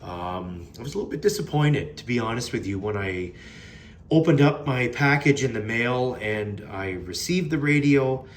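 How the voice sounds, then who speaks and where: A man's voice: medium pitch, lively intonation, moderate pace, slight background noise. A man giving a casual, unscripted product review in a plain-spoken, mildly critical way. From Canada.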